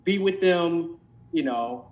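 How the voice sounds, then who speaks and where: A man in his fifties from Philadelphia with an American accent, reminiscing casually as an interview guest in a halting way, repeating words as he goes. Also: animated. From Philadelphia, United States. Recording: dull lo-fi call, rumbling noise.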